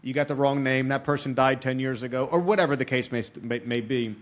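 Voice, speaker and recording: A man's voice: medium pitch, fairly even intonation, fairly quick. A man hosting a podcast interview, explaining things conversationally and often checking in with his listener. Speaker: podcast host and experienced salesman.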